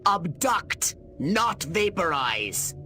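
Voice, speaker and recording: Game villain: scheming alien commander, pompous and exasperated, bright edgy tone, wildly swinging pitch, music underneath. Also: male voice, big pitch swings, extremely slow, heavily acted. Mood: impatient scheming. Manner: pompous, theatrical, wordy. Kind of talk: video game villain acting.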